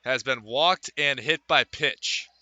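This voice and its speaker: A man calling live baseball play-by-play for radio from a ballpark, in measured phrases with pauses between plays. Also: mid-range voice, bright, forceful tone, no room sound. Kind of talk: live radio sports commentary.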